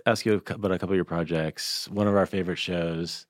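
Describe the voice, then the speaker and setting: A man with a low voice interviews as a podcast host in a dry studio. His delivery is informal and conversational, with questions that he rephrases as he goes. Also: fairly even intonation, fast, no echo. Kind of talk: casual interview chat.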